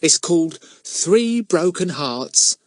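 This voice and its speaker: A man's voice: fairly high pitch, lively intonation, slow, dry recording, pitched above the performer's own voice. A man voicing a chatty, gushing, good-natured young woman in a children's storybook. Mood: delighted.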